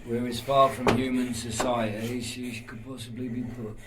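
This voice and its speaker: Gruff, sardonic castle jailer, played by a man. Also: medium pitch, little pitch movement, normal speed, over a noisy crowd, close to an everyday voice, rough recording. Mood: mocking. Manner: wry, mocking, dark-humoured. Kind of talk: audio horror play acting.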